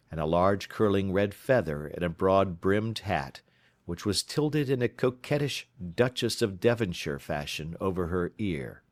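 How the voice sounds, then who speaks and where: A man reading an audiobook as a measured, storytelling narrator. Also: deep voice, no room sound. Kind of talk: scripted narration.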